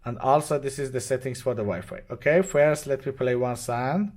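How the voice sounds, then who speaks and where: A man with a medium-pitched voice demonstrates a product hands-on. He is a non-native English speaker and explains step by step, unscripted. His phrases are short and simple, with a halting flow. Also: darkish tone. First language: not English. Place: indoors at a demo bench with devices.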